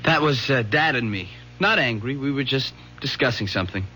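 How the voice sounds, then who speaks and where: An actor playing an anxious, earnest teenage son in an old-time radio drama, speaking hesitantly and respectfully. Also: male voice, medium pitch, animated, normal speed, bright, forceful tone. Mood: evasive. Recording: noisy old radio broadcast with hum.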